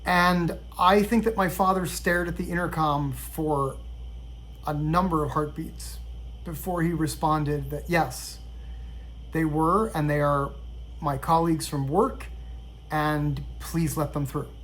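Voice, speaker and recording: A middle-aged man from Sweden telling a personal story in a measured, reflective way. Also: fairly high pitch, fairly even intonation, fairly bright tone, slight background noise, poor audio. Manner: reflective, measured, with occasional restarts. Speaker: vlogger.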